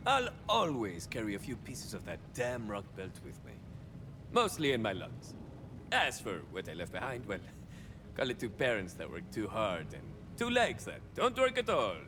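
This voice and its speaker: An actor playing a swaggering young cyborg soldier of fortune in a video game, a touched flavor, musing theatrically and landing wry deadpan punchlines. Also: male voice, on the low side, normal speed, long reverb tail, noisy background. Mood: wry reflection. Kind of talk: video game character monologue.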